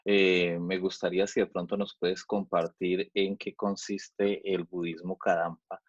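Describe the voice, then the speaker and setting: A man's voice: low voice, level tone, a little slow, breathy edge, no echo. A man asking polite, roundabout interview questions in a hesitant way, circling and repeating words. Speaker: interviewer, researcher. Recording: dull, dry lo-fi audio.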